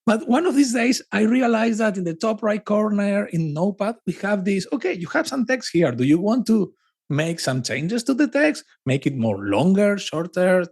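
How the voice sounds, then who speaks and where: A man with an Argentine Spanish accent talks as a podcast guest. His voice sits a little high and swings widely in pitch. He restarts phrases and repeats words for emphasis. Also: normal speed. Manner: animated, with frequent restarts and emphatic repetition. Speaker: podcast guest, cloud advocate. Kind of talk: casual conversational interview.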